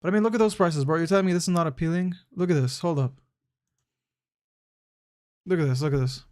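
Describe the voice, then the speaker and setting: Young guy streamer, kinda high voice, chill and chatty, says bro a lot, trips over his words. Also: fast, darkish tone. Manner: laid-back, slangy, calls chatters bro; thinks aloud with frequent restarts. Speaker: live streamer. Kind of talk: casual live-stream chat answering viewers.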